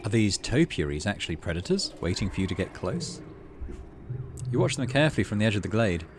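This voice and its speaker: A man with a fairly low voice plays through a game and reads its text aloud. His intonation swings widely as he moves between measured narration and muttered asides. There is clear noise behind him. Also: very animated. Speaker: gaming channel host. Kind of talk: casual game playthrough, reading on-screen text aloud. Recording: noticeable background noise.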